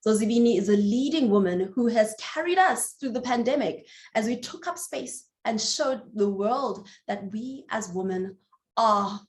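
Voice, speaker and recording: A woman's voice: mid-range voice, a little mellow, reverberant. A woman moderating a panel, who introduces her guest in an admiring, slightly rambling way. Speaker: moderator, host.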